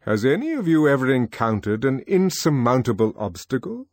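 A man's voice: medium pitch, big pitch swings, normal speed, darkish tone, no room sound, clean studio sound, pitched above the performer's own voice. A man voicing a commanding, lecturing male ruler who addresses a group of boys. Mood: lofty. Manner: oratorical, lecturing. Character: commanding male world controller, older, lofty.

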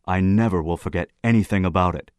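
A man's voice: sits low, fast talker, clear, resonant tone, dry recording, studio quality, natural voice. A man playing a serious, authoritative teenage schoolboy who leads an inquiry in an audiobook.

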